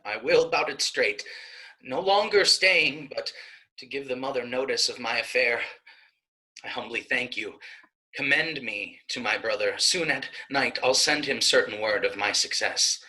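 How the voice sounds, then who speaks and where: Guy as worried modest young nun, shakespeare, really sharp bright voice, hesitant. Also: mid-range voice, unhurried, low-quality recording. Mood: resolved, grateful. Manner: hesitant, earnest. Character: anxious, modest young novice nun, hesitant but dutiful. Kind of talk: Shakespearean staged reading.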